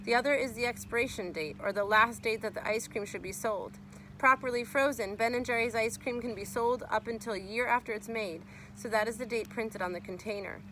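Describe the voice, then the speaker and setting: A woman's voice: on the high side, a little fast, fairly bright tone, slight background noise, poor audio. A woman reading a children's nonfiction book aloud as a teacher would, explaining and speaking to young listeners.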